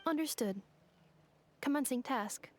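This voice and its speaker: A woman's voice: high voice, lively intonation, measured pace, a little hiss or hum. A woman voicing a polite robot translator in a video game, speaking in formal, clipped machine-like phrases. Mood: composed. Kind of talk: video game character acting.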